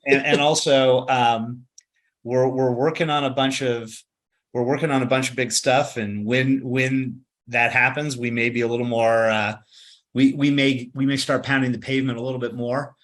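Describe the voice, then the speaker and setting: Emphatic male executive with a bright voice. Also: medium pitch, flat delivery, moderate pace. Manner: emphatic, repeats words, says "right?". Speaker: company executive guest. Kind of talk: conversational interview answers.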